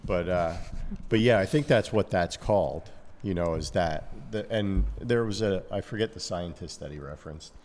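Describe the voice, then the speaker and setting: A man co-hosting a casual podcast, talking in a halting way with many restarts as he thinks aloud. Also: deep voice, darkish tone, big-room sound. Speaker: podcast co-host.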